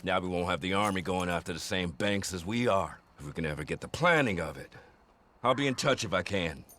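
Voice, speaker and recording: Low-pitched, mercenary Western outlaw boss. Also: male voice, expressive, brisk, background noise. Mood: cold, scheming. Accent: American. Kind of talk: game cutscene acting.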